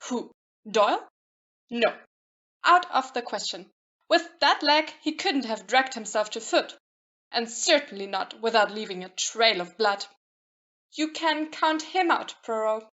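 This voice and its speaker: A woman's voice: on the high side, lively intonation, unhurried, a bit bright. A woman playing a matter-of-fact ship's doctor in an audio play, clipped and decisive, on a muffled recording.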